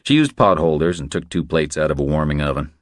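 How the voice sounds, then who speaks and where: Very deep-voiced male audiobook narrator. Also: animated, fast, no echo, studio quality. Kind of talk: scripted crime thriller narration.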